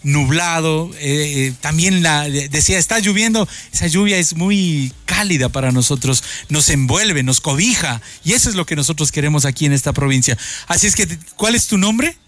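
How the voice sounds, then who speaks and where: A man's voice: on the high side, expressive, moderate pace, a bit bright. A man reporting live for radio from a town park, speaking effusively with many hesitations and restarts. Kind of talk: live radio remote broadcast. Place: outdoors in a town park, in the rain.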